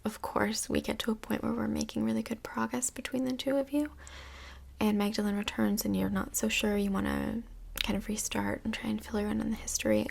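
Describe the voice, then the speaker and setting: Woman as kindly, tactful female couples' counselor for ASMR role play: whispery, breathy, slightly high, hesitant and reassuring. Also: exaggerated voice. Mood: gently tactful. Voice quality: breathy, creaky soft voice.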